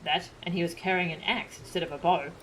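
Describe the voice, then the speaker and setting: A woman voicing a gruff male hunter in a fantasy game, talking in a blunt, colloquial way. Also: fairly low pitch, fairly even intonation, quick pace, a bit bright, a little hiss or hum, close to an everyday voice, low-quality recording. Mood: blunt, folksy.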